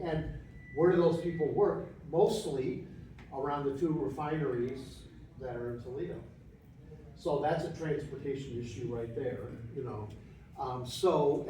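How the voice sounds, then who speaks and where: A man's voice: a little high, a little mellow. A man presenting findings to a panel audience, in a conversational way with frequent restarts and fillers. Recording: echoey room, mic far off, faint noise.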